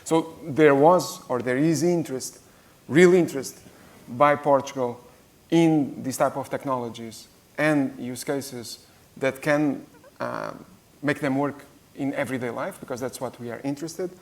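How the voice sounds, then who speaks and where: A man speaking carefully as a conference panelist, pausing and restarting often. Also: medium pitch. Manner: hesitant, careful, many restarts.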